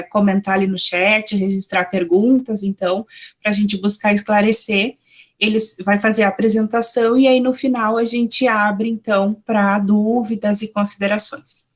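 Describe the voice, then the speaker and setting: A woman professor hosting an online workshop, introducing the guest speaker with frequent fillers over a video call. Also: mid-range voice. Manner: many fillers like "né" and "então". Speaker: host professor.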